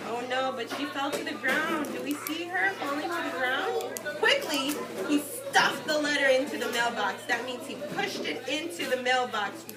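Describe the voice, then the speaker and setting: High-pitched woman reading to kids, echoey noisy room. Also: moderate pace. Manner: expressive, explanatory, addressing small children. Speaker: teacher reading to young children. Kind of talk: storybook read-aloud with simple explanations. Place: indoor classroom with carpet. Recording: echoey room, distant mic, loud children's chatter. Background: loud chatter of young children.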